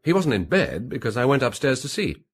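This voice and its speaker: An actor playing an evasive, defensive British gentleman suspect in a radio detective drama, hesitant and drily understated. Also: medium pitch, big pitch swings, fairly quick, breathy edge. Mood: defensive, uneasy.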